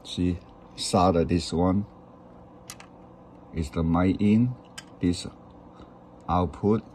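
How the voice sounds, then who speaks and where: A man with a very deep voice walks through a hands-on installation step by step. He speaks slowly and repeats short phrases to make each point. There is some steady noise behind him. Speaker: instructor demonstrating an electronics installation. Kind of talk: step-by-step hands-on tutorial, unscripted.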